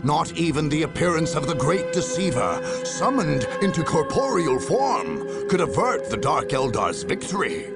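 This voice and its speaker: A grave chronicler of dark wars, narrates a game cutscene with ominous theatrical flair. The voice is slightly high for a man, creaky and unhurried, savoring each word over clear background music. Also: very animated. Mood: grim, ominous. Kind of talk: dramatic scripted game narration.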